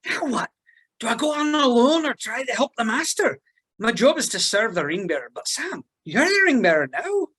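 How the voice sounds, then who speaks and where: Woman playing a husky, earnest halfling servant. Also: a little high, sing-song, moderate pace, fairly bright tone, full character voice. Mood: torn, anxious. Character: loyal, anguished young male halfling servant. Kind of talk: table read of a fantasy film script.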